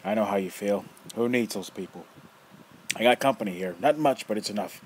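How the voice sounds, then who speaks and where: A man voicing a rough, taunting armless coffin maker in an audiobook reading. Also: on the low side, a little fast, a little hiss or hum, natural voice, rough recording. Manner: rough, taunting, bursts of laughter. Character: armless gruff male coffin maker, mocking and menacing.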